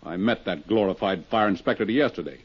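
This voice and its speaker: An actor playing a gruff, combative businessman in a 1950s radio drama, brusque and scornful. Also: on the low side, fairly quick. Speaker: businessman under suspicion. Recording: dull lo-fi old radio broadcast.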